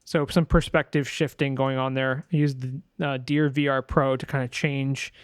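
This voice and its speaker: A man's voice: medium pitch, little pitch movement, normal speed, smooth, dry recording, studio quality. A man giving a casual screen-share tutorial as a sound designer, thinking aloud informally and correcting himself as he goes.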